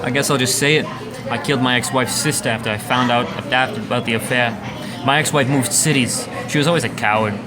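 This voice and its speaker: A man's voice: medium pitch, fairly even intonation, quick pace, over music, close to an everyday voice. A man playing a guilt-ridden, resigned jailed killer in an amateur radio play. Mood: guilty, bitter.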